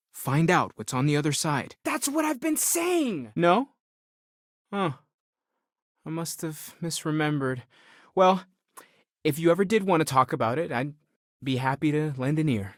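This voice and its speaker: An actor playing an earnest, devout explorer in a space video game, speaking in a sincere, thoughtful, conversational way. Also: male voice, mid-range voice, no echo, studio quality, natural voice. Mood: resolute, then casual, friendly.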